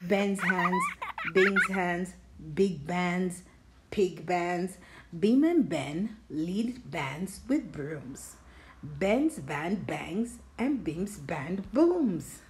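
A woman reading rhyming children's verse aloud with deliberate, expressive articulation. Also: fairly low pitch. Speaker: storybook reader.

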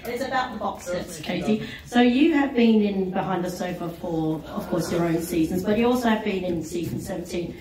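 A woman's voice: medium pitch, moderate pace, hardly any pauses, a crowd in the background. A woman in an audience casually asking a question at a live event. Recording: very echoey room, distant, audience murmur.

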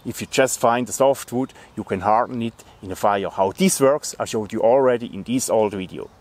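A man, explaining a bushcraft task step by step in a forest. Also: on the low side. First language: German. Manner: plain, friendly, step-by-step explaining. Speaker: bushcraft instructor. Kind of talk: outdoor tutorial demonstration. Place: forest outdoors.

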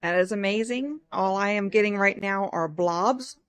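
A woman's voice: medium pitch, moderate pace, no room sound. A woman chatting casually from behind a handheld camera indoors, repeating herself as she talks and asks questions. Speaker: vlogger filming and asking questions. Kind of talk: casual chat. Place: indoors at a farm shop. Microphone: behind the handheld camera, close.

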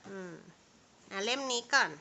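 Mom chatting to her little kid, bright voice, asks short questions n repeats stuff, bit of background noise. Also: normal speed. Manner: prompting with short questions, repeating the child's answers. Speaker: parent quizzing a toddler on storybook titles. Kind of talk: casual talk to a small child. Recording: noisy home recording.

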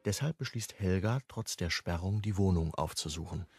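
An actor playing a sober first-person narrator in a crime radio drama, restrained, in short sentences. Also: male voice, deep voice, moderate pace, a little mellow, faint music behind. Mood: sober, recounting.

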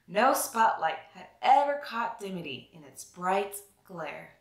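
A young adult woman reading a children's picture book aloud with expressive, dramatic phrasing. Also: mid-range voice, a little slow, echoey room. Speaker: children's read-aloud host.

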